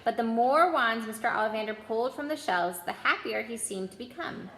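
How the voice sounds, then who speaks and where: A woman storyteller reading a children's storybook aloud in expressive narration. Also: on the high side, a little fast, echoey room, a little hiss or hum, rough recording.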